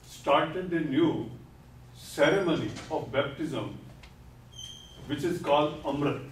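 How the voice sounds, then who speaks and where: An older man lectures in a very slow, deliberate way, repeating each key term. He stands at a podium in a classroom, picked up by a distant camera. The room is echoey, with faint noise behind him. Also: a little high. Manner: gestures while speaking at a podium. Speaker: lecturer on Sikhism. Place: classroom with whiteboard. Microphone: far camera.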